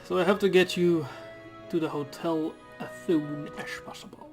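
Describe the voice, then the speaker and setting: A man's voice: a little high, fairly even intonation, moderate pace, darkish tone, soft background music, lightly acted. A Dutch man voicing an apologetic, secretive male guide in a game, who talks earnestly and dodges questions.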